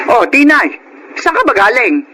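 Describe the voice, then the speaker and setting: A woman's voice: on the high side, sing-song, moderate pace, poor audio. A Filipino woman playing a weary, bitter middle-aged mother who scolds and laments in a radio drama. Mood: weary scolding. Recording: faint background noise.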